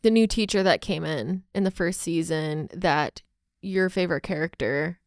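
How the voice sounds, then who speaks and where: A woman co-hosting a podcast in a casual, chatty way, with an enthusiastic, expressive delivery. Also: fairly low pitch, normal speed, no room sound, studio quality. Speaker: podcast co-host.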